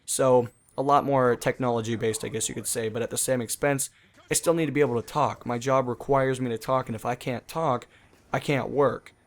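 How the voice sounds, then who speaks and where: A man's voice: medium pitch, fairly even intonation, a little fast. A young man who hosts a gaming channel and talks casually over gameplay. He rambles in a chatty way, full of fillers.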